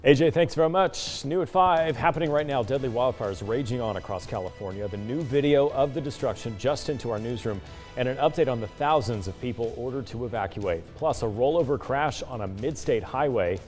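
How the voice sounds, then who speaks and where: A man's voice: mid-range voice, a little fast, a little mellow. American male news anchor over music. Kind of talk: broadcast news delivery.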